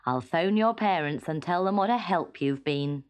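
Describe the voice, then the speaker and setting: A woman's voice: lowish voice, animated, normal speed, noisy background, lightly acted. A British woman voicing a nervous, fussy male china shop keeper in a children's storybook, flustered and exclaiming. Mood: grateful.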